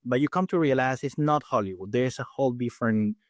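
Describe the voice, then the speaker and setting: A man in his forties from Peru with a Peruvian Spanish accent, Spanish being his first language, chatting as an interview guest, talking fast with many restarts and fillers. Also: mid-range voice, no room sound, studio quality. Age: 40s. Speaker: guest dentist and faculty. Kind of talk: casual interview chat.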